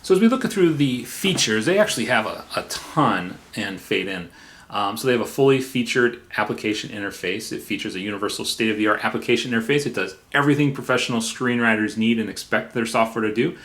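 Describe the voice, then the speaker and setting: A man hosting a software review in a casual, informal way, somewhat halting, with playful repetition for emphasis. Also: lowish voice, animated. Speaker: software reviewer and video host.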